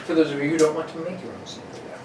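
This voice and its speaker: Higher-pitched man, echoey casual chat. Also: racing. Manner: jokey. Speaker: host and radio play performer. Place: room used as studio. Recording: echoey room, distant mic, noisy.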